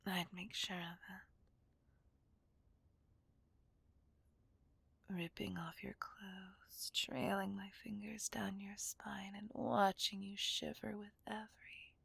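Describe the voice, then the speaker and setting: A seductive, possessive villainess played by a woman whispers in a breathy voice with a gravelly edge. She is teasing and dominant, drawing her words out slowly as if toying with a captive in an ASMR roleplay. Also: medium pitch, steady tone, fairly bright tone, dry recording, heavily acted. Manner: teasing, dominant, drawn-out delivery. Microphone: close microphone, dry sound.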